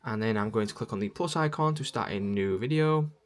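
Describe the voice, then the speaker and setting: A man's voice: fairly low pitch, a little fast. A man presenting a step-by-step app tutorial in plain instructional narration.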